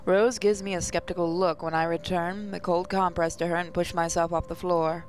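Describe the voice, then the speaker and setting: A woman's voice: fairly low pitch, flat delivery, moderate pace, soft background music, low-quality recording. A woman hosting a gaming channel, reading a visual novel aloud with playful, sarcastic asides.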